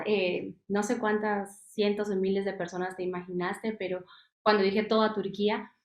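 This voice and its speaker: Earnest woman from Guatemala, echoey room. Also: mid-range voice. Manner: earnest storytelling with many fillers and restarts. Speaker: missionary speaker. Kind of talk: personal testimony at a missions workshop.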